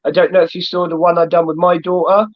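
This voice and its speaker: A man's voice: sits high, level tone, quick pace. Easygoing man on dull lo-fi audio. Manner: easygoing, chuckling, told he sounds like a farmer. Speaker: host. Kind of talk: casual chat.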